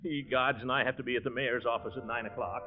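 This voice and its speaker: An actor playing a pompous, easily exasperated middle-aged uncle in a 1940s radio sitcom, flustered and grumbling theatrically. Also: male voice, medium pitch, fairly even intonation, quick pace, music in the background, natural voice. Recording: dull band-limited 1940s radio recording.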